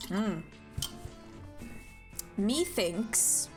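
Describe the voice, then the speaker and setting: A young woman from Norway streaming a game, chatting casually and greeting chat with high, bubbly hellos. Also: big pitch swings, very slow, a little mellow, soft background music.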